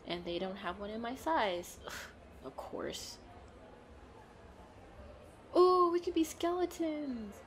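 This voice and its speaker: Woman acting as playful girlfriend, roleplay audio, bubbly, expressive, very lively intonation, background ambience. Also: a little high, slow pace, long pauses, a little hiss or hum. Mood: chatty, upbeat. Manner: bubbly, expressive, frequent giggles and exclamations. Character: playful, excitable, pleading girlfriend out costume shopping.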